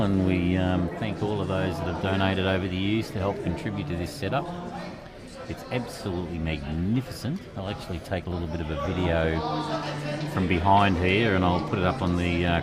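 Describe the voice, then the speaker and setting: A man with an Australian accent commentating a pool match in a relaxed, chatty way, with a quiet voice and many fillers. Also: sits low.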